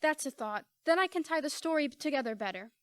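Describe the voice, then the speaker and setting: A woman playing a brisk, wry, inquisitive newspaperwoman in an amateur radio play. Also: sits high, expressive, fairly bright tone, no echo, clean studio sound. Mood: curious, brisk.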